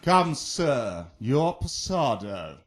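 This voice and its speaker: A man's voice: medium pitch, very animated, very slow, very noisy. An actor playing a hot-headed, mocking young swordsman in a Shakespearean radio drama, with theatrical, taunting delivery. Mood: mocking, defiant.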